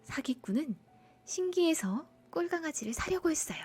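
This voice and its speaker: A woman narrating a children's bedtime folktale in an expressive storytelling style. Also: sits high, moderate pace, faint music behind.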